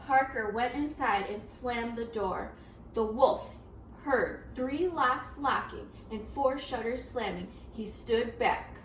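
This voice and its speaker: A woman reading a storybook aloud to children in an expressive, interactive way, with dramatic gasps and prompting questions. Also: on the high side, takes it slowly. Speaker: children's story reader, teacher. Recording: roomy, distant, faint background noise.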